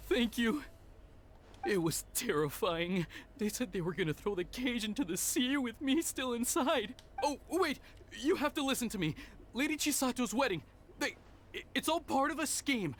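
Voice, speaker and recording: An actress playing a frightened, polite young captive in an anime-style video game, breathless and urgent. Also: female voice, on the high side, lively intonation, moderate pace, slight background noise, close to an everyday voice.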